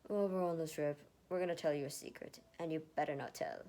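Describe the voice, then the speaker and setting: A calm young warrior man, voiced by a woman reading a story, speaks steadily. He is protective and reassuring. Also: deep voice, fast. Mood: confiding.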